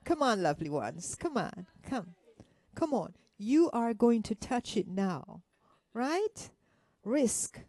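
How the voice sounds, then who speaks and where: Female workshop speaker, unhurried and soft-toned, gently coaxing an audience. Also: a little high, very animated, dry recording. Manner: gentle coaxing, with rhetorical questions and endearments. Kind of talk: interactive motivational talk to an audience.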